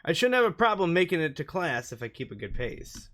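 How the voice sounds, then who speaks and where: A man's voice: on the high side, big pitch swings, fairly quick, a bit bright, slight background noise. A man doing let's play commentary and reading game text aloud, sometimes stumbling over the words. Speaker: gaming YouTuber. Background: background music.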